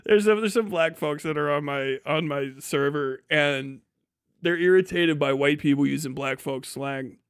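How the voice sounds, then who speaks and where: Higher-voiced man, sweary casual streamer. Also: fairly bright tone, clear, resonant tone, no room sound, clean studio sound. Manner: sweary, irreverent, joking. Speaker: livestream host. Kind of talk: casual profane livestream commentary.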